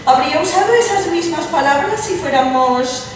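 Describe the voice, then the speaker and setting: An actress plays a worldly, arch princess in a radio-theatre reading. Her delivery is animated and theatrically drawn out, with condescending wit. She is distant from the mic in a very echoey, noisy room. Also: high-pitched, normal speed. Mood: cool teasing. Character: witty, worldly aristocratic princess, sharp advocate for women. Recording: echoey hall from far off, noisy.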